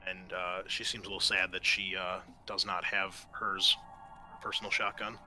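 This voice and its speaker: A man with a very bright, forward voice on the low side runs a tabletop game. He explains scenes and rules conversationally, often halting as he goes. Faint music plays behind him. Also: level tone. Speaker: game master. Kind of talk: running a tabletop roleplaying game.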